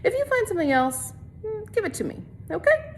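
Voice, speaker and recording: A woman's voice: extremely high pitch, very animated, fairly quick, pitched above the performer's own voice, exaggerated voice. A small teenage gang leader from a storybook, read out by a woman, casual and cajoling. He pushes to get his way. There is faint noise behind the voice.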